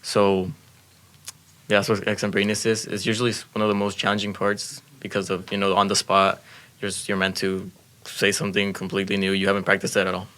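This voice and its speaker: Teen male student, native Spanish speaker, deep monotone delivery, earnest and explanatory. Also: fairly quick. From Mexico. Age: teens. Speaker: student speech competitor. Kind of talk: casual chat.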